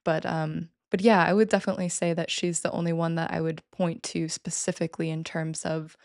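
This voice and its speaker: Woman guest, gravelly and fairly monotone, chatty and keen. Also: low voice, a little fast, no room sound, clean studio sound. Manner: enthusiastic, many fillers and restarts. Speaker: podcast guest. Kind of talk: casual interview talk.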